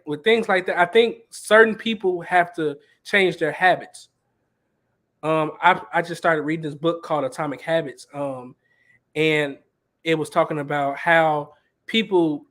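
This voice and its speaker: Brief young man, slightly high pitch, relaxed storyteller, casual panel talk. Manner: relaxed, storytelling, builds on others. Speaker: church musician and music director.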